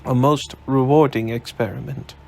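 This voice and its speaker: A man's voice: mid-range voice, steady tone, normal speed, vocal fry, a little mellow, lightly acted. A man reading aloud, voicing a formal, genteel older male inventor with dry amusement.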